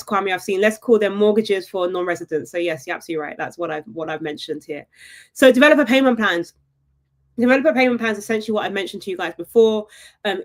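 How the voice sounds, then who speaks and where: A woman hosting a live property webinar, chatty and upbeat, often checking in with her viewers with a quick "right?". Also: medium pitch. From United Kingdom. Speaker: property investment webinar host.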